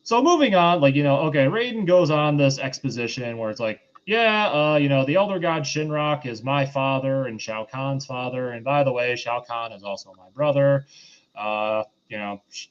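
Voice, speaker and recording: A man's voice: mid-range voice, highs cut off. A man hosting a casual film review, recapping in a loose, rambling conversational way.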